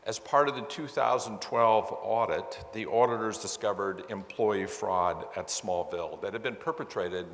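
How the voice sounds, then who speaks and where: A man presenting and moderating a conference session in a measured, explanatory way. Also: fairly low pitch. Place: large indoor conference hall. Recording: echoey conference hall sound system.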